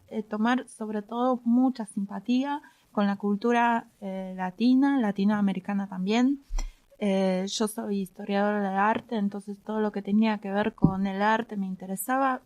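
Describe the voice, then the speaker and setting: A woman in her thirties, a native Polish speaker with an Argentine Spanish accent, telling her story as a podcast guest with frequent "eh" pauses. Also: medium pitch, darkish tone, no room sound. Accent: Argentine Spanish, Rioplatense. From Poland. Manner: hesitant, frequent "eh" fillers. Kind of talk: casual conversational storytelling.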